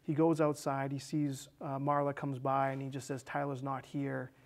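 A man's voice: mid-range voice, monotone, normal speed. A man in his thirties casually talking through a movie's story as co-host of a film discussion show. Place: small indoor studio set with curtains.

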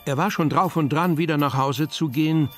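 A man's voice: a little high, fairly quick, close to an everyday voice. A man narrating a German children's radio play in a scripted storybook style. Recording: music behind the voice.

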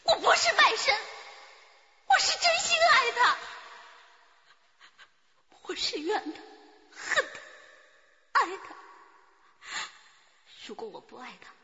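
Bitter jilted mistress in a radio play, high and sharp voice, insistent, reverby. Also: female voice, wildly swooping pitch, takes it slowly, pauses often, heavily acted. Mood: anguished. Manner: theatrical, sharp-tongued and insistent. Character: defiant, bitter, lovelorn spurned mistress. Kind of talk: radio drama acting. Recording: dull recording with long reverb.

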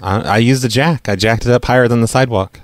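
A man's voice: medium pitch, quick pace, no echo. Stammering, argumentative man, very dry sound. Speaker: prank caller, show host. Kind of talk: prank phone call, casual chat.

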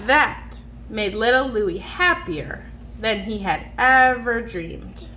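High-voiced woman reading slowly to a child. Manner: expressive, drawn-out words, child-directed. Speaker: picture book reader to a small child. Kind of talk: read-aloud storytelling to a young child, with simple questions. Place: indoors at home on a dark wood floor. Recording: noisy home phone recording.

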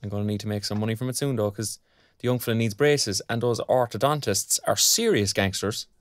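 A man's voice: on the low side, fairly quick, no room sound, natural voice. An actor playing a chatty, put-upon, good-natured younger father in an audio drama, speaking colloquially with an Irish accent. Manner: colloquial, animated.

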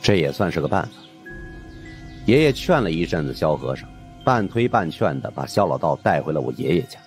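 A man narrating a supernatural mystery audiobook as an animated storyteller. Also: extremely low pitch, fairly quick, faint music behind.

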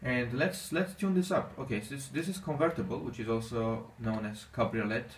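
Armenian male gaming host, mid-pitched, halting speech, faint hiss behind him. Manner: halting, non-native English with many fillers. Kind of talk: casual gameplay commentary. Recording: echoey room, distant mic, faint noise.

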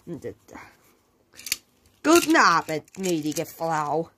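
A woman's voice: big pitch swings, slow pace, a bit bright, a little hiss or hum, exaggerated voice. A woman voicing a formal, courtly male hotel owner in a toy-play story. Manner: low, formal, polite.

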